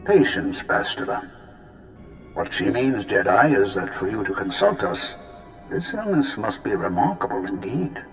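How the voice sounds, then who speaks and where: A man voicing a patient, wise Jedi master spirit in a video game, measured, formal and reassuring. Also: lowish voice, sing-song, reverberant, soft background music.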